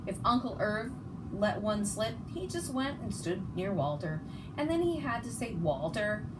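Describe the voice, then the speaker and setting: A woman's voice: fairly high pitch, sing-song, normal speed, distant mic. A woman reading a children's story aloud expressively, sometimes stumbling and rereading words. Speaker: storybook reader. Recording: noticeable background noise.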